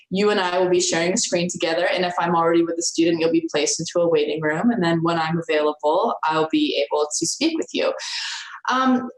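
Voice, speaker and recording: A woman college instructor talking informally to students, earnest and encouraging, often repeating words as she restarts. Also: on the low side. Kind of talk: informal talk to students about office hours. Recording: roomy echo.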